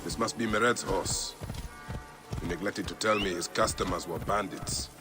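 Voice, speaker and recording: Male actor as wary ancient warrior hero for a video game: low, firm, impatient, loud score behind. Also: moderate pace, loud music behind the voice, close to an everyday voice. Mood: stern, impatient. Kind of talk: video game character acting.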